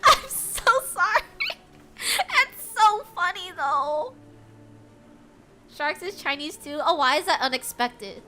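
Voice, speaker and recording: A woman's voice: very high voice, slow pace, long pauses. An American woman streamer, young, chatting casually with her chat while gaming, laughing often. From United States. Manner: giggly, animated, frequent bursts of laughter. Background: faint game music.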